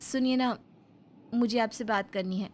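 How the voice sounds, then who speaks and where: A woman voicing a polite, gentle young daughter-in-law in a Hindi moral story. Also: fairly high pitch, measured pace, slight background noise, lightly acted. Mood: hesitant.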